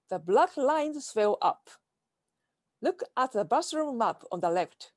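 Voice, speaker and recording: A woman with a Japanese accent giving a prepared keynote talk over a video call, speaking in a careful, measured way from slides. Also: a little high, dry recording, studio quality.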